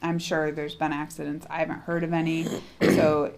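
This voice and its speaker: Middle-aged female co-host, casual chat, rambling worried manner, low gravelly voice. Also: flat delivery, normal speed, a little mellow. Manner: anxious, self-deprecating, rambling. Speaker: co-host, host's partner.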